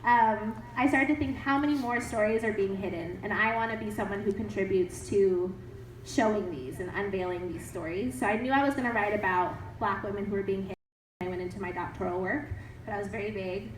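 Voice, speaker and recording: A young woman author with a cold, answering audience questions in an animated, upbeat way, laughing often. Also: mid-range voice, brisk. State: has a cold. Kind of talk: casual Q&A talk. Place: event hall. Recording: echoey room, mic from a distance, faint noise.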